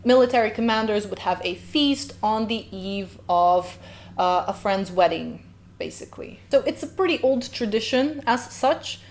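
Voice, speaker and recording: A woman's voice: medium pitch, expressive, moderate pace. A woman, a linguist hosting a casual reaction video, chatty and playful with tangents. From Slovenia. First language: Slovenian. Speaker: host, linguist.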